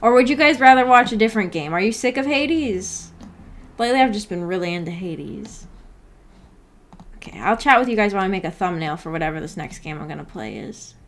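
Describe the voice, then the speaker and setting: A woman with a mid-pitched voice hosts a livestream in a casual, unhurried manner. She thinks out loud and rambles along, moving between her own remarks and comments she reads from viewers. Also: expressive. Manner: laid-back, rambling, thinking aloud. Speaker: livestream host.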